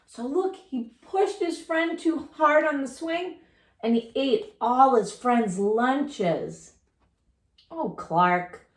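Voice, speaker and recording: A woman's voice: sits high, animated, slow, reverberant. A woman reading a children's storybook aloud like a teacher, expressively, talking directly to her young listeners.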